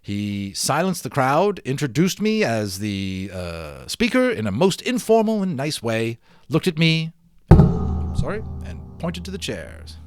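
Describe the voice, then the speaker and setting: A man giving an expressive, emphatic dramatic reading of a storybook, as an amateur narrator. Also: mid-range voice, a little slow, soft background music. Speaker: amateur audiobook reader. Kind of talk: dramatic storybook read-aloud with chatty asides.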